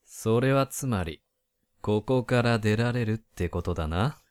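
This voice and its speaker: Man as a smug, low-voiced, lively schemer. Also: unhurried, clear, resonant tone. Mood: nonchalant. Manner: nonchalant, mock-polite. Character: smug, laid-back scheming young male rival contestant. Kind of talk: comedic Japanese radio drama acting.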